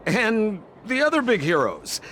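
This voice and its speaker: A man's voice: sits high, wildly swooping pitch, a little slow, a bit bright, a little hiss or hum, heavily acted. A man voicing a teasing, theatrical townsman in an anime game. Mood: playful.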